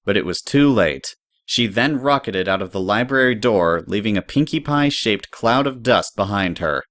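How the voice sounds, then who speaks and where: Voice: medium pitch, expressive, moderate pace, a bit bright, dry recording. A narrator reading scripted story prose in an audio drama.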